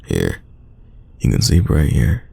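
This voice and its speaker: Super deep crackly guy acting a stubborn teasing young man, tsundere roleplay audio, casual and sighing, really up and down. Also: wildly swooping pitch, dark tone, exaggerated voice. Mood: insistent, smug. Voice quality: very deep, gravelly voice. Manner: casual, teasing, drawn-out sighs. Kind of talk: intimate roleplay audio drama.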